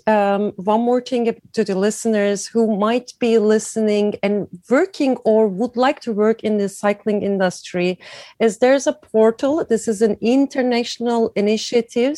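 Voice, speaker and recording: A woman from Turkey with a Turkish accent, answering interview questions in halting non-native English, searching for words. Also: fairly high pitch, warm tone, dry recording. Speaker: podcast guest, cycling industry professional.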